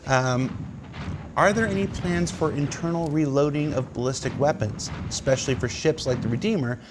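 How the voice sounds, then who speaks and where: A man's voice: on the high side, normal speed, background noise. A man hosting a casual panel chat, reading out viewer questions and teasing his guests. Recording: loud game ambience behind the voice.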